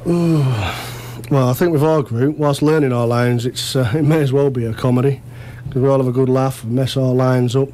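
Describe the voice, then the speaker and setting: Mellow man, casual guest. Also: medium pitch, fairly even intonation, moderate pace. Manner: modest, self-deprecating. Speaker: amateur actor interview guest. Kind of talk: casual radio interview chat.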